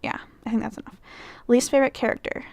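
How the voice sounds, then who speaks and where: A woman's voice: fairly high pitch, steady tone, quick pace, gravelly, darkish tone, dry recording, whispered. A young woman from Michigan with a Midwestern American accent, chatting casually through a fan questionnaire, rambling with lots of fillers and self-corrections. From Michigan, United States. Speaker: vlogger.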